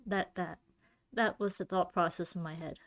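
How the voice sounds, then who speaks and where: A woman's voice: lowish voice, fairly even intonation, normal speed. A woman hosting her own podcast alone, talking casually and thinking aloud with a giggly, loopy manner. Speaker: podcast host and streamer. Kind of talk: casual rambling solo podcast talk.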